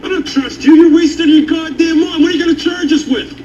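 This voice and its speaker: Actor as an exasperated, very high-voiced smuggler. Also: male voice, fairly quick, a little mellow, slight background noise. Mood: exasperated, defiant. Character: defiant, exasperated, foul-mouthed male smuggler. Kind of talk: comedic screen dialogue. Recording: echoey, muffled, distant.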